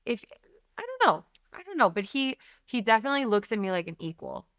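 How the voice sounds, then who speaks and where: A woman's voice: a little high, big pitch swings, brisk, fairly bright tone, dry recording, clean studio sound. A woman guest speaking frankly and emphatically in a candid podcast conversation, swearing casually. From Lebanese background. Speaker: guest, company CEO.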